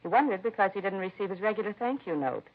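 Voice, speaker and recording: A woman's voice: mid-range voice, expressive, fast, lightly acted. An actress playing an earnest, indignant woman who runs a children's home in a 1950s radio drama, plain-spoken and heartfelt. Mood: earnest, concerned. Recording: dull vintage radio recording.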